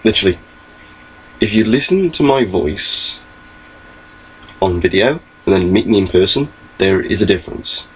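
A man's voice: sits low, expressive, normal speed, pauses often, slight background noise, rough recording. A young man giving a rambling, chatty webcam update from his small bedroom, recorded on his laptop mic. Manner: rambling, chatty, gestures a lot. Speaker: vlogger.

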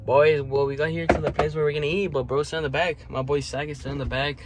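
A man's voice: fairly high pitch, flat delivery, fast talker, very noisy. A young man in his late teens filming a casual vlog on his phone, joking with friends and saying bro a lot, mixing in some Spanish words. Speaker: vlogger. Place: house, car and restaurant. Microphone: handheld phone at arm's length.

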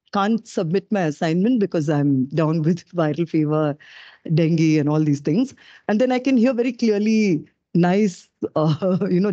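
A middle-aged woman with a low-pitched voice gives an animated, self-deprecating public lecture into a podium microphone. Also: no room sound, muffled recording. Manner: animated, self-deprecating, gestures while talking. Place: dark auditorium stage.

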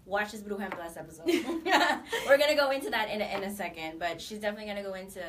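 A woman's voice: mid-range voice, quick pace, far from the mic, slight background noise. A young woman hosting a studio interview, affirming her guest often. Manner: steady affirming "mm-hmm" backchannel. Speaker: host. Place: small studio set, indoors.

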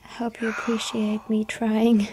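A woman voicing a lonely yandere vampire girl in an ASMR roleplay, whispering softly and pleading. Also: fairly high pitch, monotone, a little slow, low-quality recording. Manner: breathy soft whisper, pleading.

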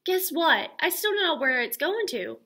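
A woman reading a children's book aloud, voicing a bold, bossy almost-six-year-old girl who squeals and insists loudly. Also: very high voice, animated, normal speed, voice pushed up, heavily acted. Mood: loud, insistent. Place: school classroom, indoors.

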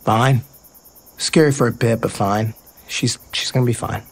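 An actor playing a playful, sincere baseball player, casual and earnest in a naturalistic audio drama. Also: male voice, medium pitch, fairly even intonation, moderate pace, natural voice. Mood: subdued.

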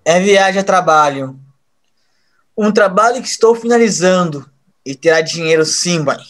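An actor playing a resentful young male hacker in an audio drama, halting at times. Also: high-pitched, lively intonation, a bit bright. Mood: subdued, affectionate. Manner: halting, repeats words when pressed.

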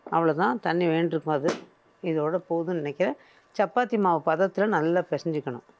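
A woman's voice: deep voice, a little fast, darkish tone, muffled recording. An older woman presenting a cooking show, patiently explaining each step as she works in a studio kitchen.

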